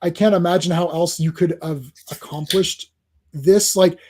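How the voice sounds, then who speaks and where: A man's voice: a little high, fairly even intonation, normal speed, darkish tone, husky. A man hosting a casual comics livestream, chatting through a comic and greeting viewers in the chat.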